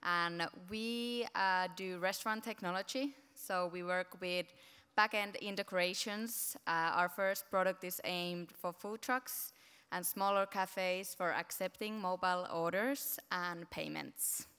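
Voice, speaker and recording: Brief: woman founder, lowish very smooth voice, echoey hall. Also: sharp tone. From Finland. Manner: brisk, matter-of-fact. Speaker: panelist, founder. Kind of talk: startup pitch on a panel.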